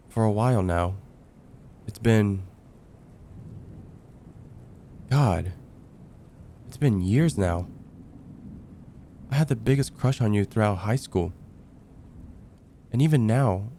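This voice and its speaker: A man playing a lovestruck young heartbreaker friend in an ASMR roleplay, speaking in an earnest, halting, stammering way. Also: fairly low pitch, dark tone, a little hiss or hum. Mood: confessing, tender. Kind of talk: ASMR roleplay, intimate dramatic monologue.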